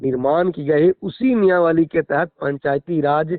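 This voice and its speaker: A man's voice: on the high side, measured pace, no room sound. A middle-aged man with a Bihari accent, a schoolteacher arguing his position emphatically and formally in a phone interview. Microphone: phone call. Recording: narrow, tinny phone line.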